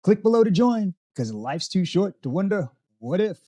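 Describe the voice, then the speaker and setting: Man, fairly high-pitched voice, scripted advisory talk, addressing viewers directly. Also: sing-song, normal speed, warm tone. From tropical island. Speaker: expat channel host. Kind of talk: scripted talking-head explainer.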